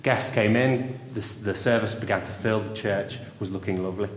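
Loud-voiced young preacher in a church. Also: male voice, lowish voice, fairly even intonation, moderate pace. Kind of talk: sermon with story. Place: church hall. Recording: roomy church with long reverb.

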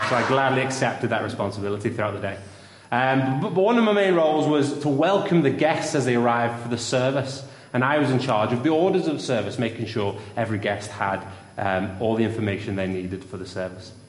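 A young man preaching and telling a story in a church, with a loud voice. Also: mid-range voice, fairly quick, hardly any pauses. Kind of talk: sermon with story. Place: church hall. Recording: roomy church with long reverb.

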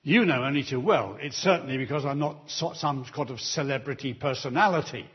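A man preaching a sermon in a church, delivering slow, emphatic appeals to the congregation. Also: mid-range voice, slightly rough, dull audio.

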